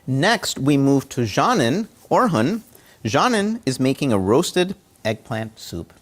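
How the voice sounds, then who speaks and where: A man's voice: mid-range voice, sing-song, slow pace. A man showing a dish in his home kitchen over a video call, chatty and encouraging as he cooks and tastes. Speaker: home cook presenter. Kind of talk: casual cooking demonstration. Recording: faint background noise.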